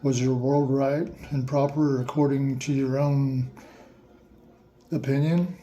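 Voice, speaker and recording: A man slowly asking listeners a series of reflective questions, with playful asides in a surprised voice. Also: mid-range voice, steady tone, warm tone, highs cut off. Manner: slow, repetitive questions with mock-surprised asides.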